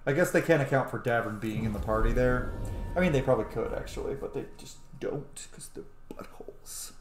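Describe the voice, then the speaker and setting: A man's voice: medium pitch, brisk. Casual man gaming in an echoey room. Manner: chatty, thinking aloud, swearing. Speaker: video game streamer. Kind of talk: casual gameplay commentary. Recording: roomy echo, light background noise.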